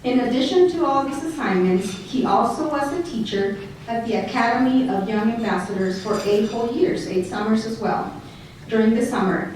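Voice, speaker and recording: A woman's voice: medium pitch, darkish tone, a little hiss or hum. Mexican-accented woman speaking in an echoey hall. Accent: Mexican Spanish. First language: Spanish. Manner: reads prepared remarks with joking asides to the audience. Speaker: school district director introducing an award nominee. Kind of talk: speech at an awards banquet. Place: large banquet hall. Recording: echoey hall recorded from far off.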